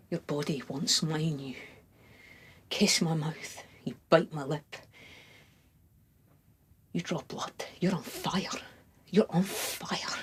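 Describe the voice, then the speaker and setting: A woman playing a tormented, lovestruck poetic suitor in an impassioned stage monologue, husky and often breathy, in short repeated phrases. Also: lowish voice.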